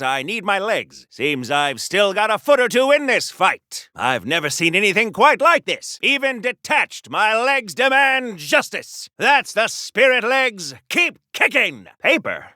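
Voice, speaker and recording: A golden-age patriotic superhero for a video game. His voice is high and forceful, with very animated swings in pitch. He is pompous and earnest, declaiming like the star of an old heroic serial, all in a close, dry recording. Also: no echo, full character voice. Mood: indignant, earnest. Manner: theatrical, grandiloquent declaiming.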